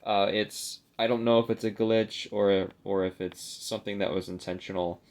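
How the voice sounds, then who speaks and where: Lower-pitched young man, rambling vlog chat. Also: steady tone, moderate pace, a little mellow. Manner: rambling, hesitant, self-deprecating. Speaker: video creator showing a collectible. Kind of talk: casual unscripted vlog chat.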